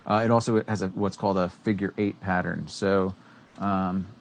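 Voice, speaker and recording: Deep-voiced man explaining microphones casually. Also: fairly even intonation, normal speed, vocal fry. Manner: rambling, many fillers and restarts. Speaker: co-host. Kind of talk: casual educational tech talk. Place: small studio room with green screen. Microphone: studio microphone on boom arm.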